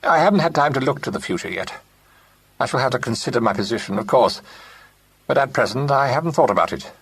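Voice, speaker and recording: A man doing a quick, worried, harassed heir. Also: mid-range voice, sing-song, fairly bright tone, slightly rough, voice pushed up.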